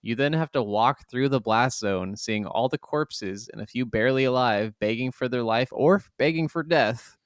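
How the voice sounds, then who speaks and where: A man co-hosting a gaming podcast in casual chat, animated and free with swearing. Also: medium pitch, normal speed, few pauses, smooth, no echo, clean studio sound. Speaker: podcast co-host.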